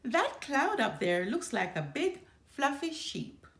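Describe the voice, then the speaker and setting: A woman's voice: sits high, sing-song, slow pace, roomy sound, a little hiss or hum, voice pushed up, heavily acted. A woman voicing a boastful, grumpy young girl bear cub in a storybook, always one-upping with emphatic delivery. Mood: dreamy.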